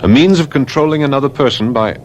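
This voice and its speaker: An actor playing a calm, commanding young doctor and mesmerist in old-time radio drama, with a measured, theatrical delivery. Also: male voice, medium pitch, natural voice. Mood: reassuring. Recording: noisy old radio recording.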